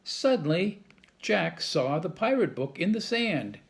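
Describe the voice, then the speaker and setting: A man reading a children's chapter book aloud in a lively storytelling manner. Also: high voice, measured pace, creaky. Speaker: staff reader.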